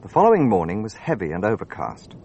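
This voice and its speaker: An actor playing an earnest, easily puzzled gentleman, the detective's companion, in a radio drama, with an eager manner. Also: lowish voice, wildly swooping pitch, fast talker, gravelly, slight background noise, exaggerated voice. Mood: narrating, rueful. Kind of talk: radio drama acting and first-person narration.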